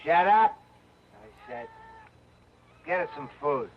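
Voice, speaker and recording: An actor playing a ruthless fugitive in an old radio drama, curt and barking orders. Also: male voice, fairly high pitch, expressive, takes it slowly. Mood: menacing. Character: ruthless, bossy male fugitive on the run. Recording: muffled, very noisy old radio recording.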